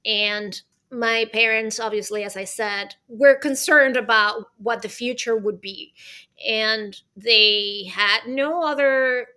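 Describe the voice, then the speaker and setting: A woman from Venezuela, a guest on a podcast, talking in a lively, chatty way with many fillers like "right?". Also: a little high, slow, clean tone. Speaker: guest, arts consultant and author. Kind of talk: podcast interview.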